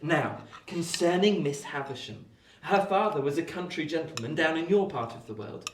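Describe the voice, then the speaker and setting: An actor playing a genial, well-bred young London gentleman, polite, tactful and chatty, in a radio play. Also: on the high side, animated, moderate pace, far from the mic, a little hiss or hum, natural voice. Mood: storytelling. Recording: echoey room, off the mic.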